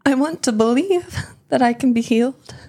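A woman playing a frail, devoted Roman noblewoman with a tremulous, halting delivery in an audio drama. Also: high-pitched, dark tone, breathy edge. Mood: earnest, hopeful.

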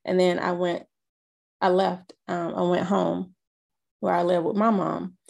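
A woman in her thirties slowly recounting a personal experience, halting often with fillers. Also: fairly low pitch, little pitch movement, gravelly, darkish tone. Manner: slow, halting storytelling with many fillers. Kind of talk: personal testimony.

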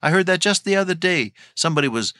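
A man's voice: high voice, lively intonation, fast, sharp tone, no echo, clean studio sound. Older man, folksy radio Bible teacher. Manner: folksy storytelling with chuckles.

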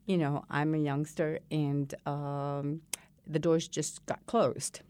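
Low-voiced woman in her sixties from Malaysia. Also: little pitch movement, slow pace, darkish tone, clear, resonant tone. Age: 60s. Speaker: interviewee, college diversity director. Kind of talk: oral history interview answers.

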